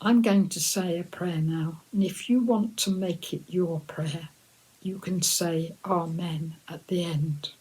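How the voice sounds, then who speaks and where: A woman reading for young children in an expressive, deliberate, sing-song storytelling manner. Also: lowish voice, slightly rough, low-quality recording.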